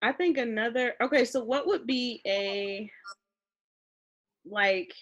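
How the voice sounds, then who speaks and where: A woman's voice: a little high, slow, fairly bright tone, muffled recording. A woman co-hosting a podcast, talking in a loose, playful way and teasing her co-host. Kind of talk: casual conversation.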